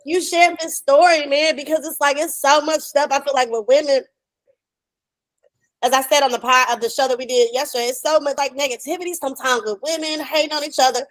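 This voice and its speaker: High-voiced American woman podcast host. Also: fairly even intonation, a little fast, dry recording, low-quality recording. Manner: effusive, slangy. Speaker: podcast host and developing actor. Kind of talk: casual emotional conversation. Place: indoors, video call.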